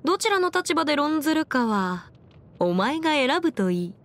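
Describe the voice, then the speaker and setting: A woman's voice: high-pitched, sing-song, normal speed, fairly bright tone, full character voice. A woman voicing a confident, bookish young female legal expert in a video game, speaking in a self-assured, formal, lecturing way. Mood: friendly, playful. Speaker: video game character voice actor. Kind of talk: scripted anime-style game character monologue.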